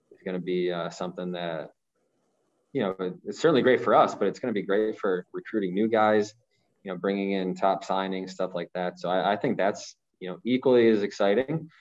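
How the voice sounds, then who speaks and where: A man in his thirties from Ohio, a professional goalkeeper answering press conference questions in a measured way, often repeating words as he thinks. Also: deep voice, a little mellow. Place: small room, branded backdrop. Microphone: video call, masked. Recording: slightly dull lo-fi audio.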